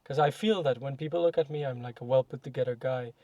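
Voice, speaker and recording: A man's voice: medium pitch, fast talker, warm tone, no echo, dull audio. A young man talking casually and reflectively in a podcast chat, with many fillers and self-corrections. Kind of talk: casual conversation.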